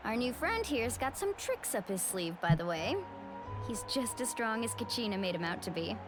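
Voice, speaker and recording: A woman's voice: a little high, sing-song, moderate pace, loud music behind the voice. A woman playing an earnest, upbeat young female warrior in a fantasy video game. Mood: upbeat, friendly.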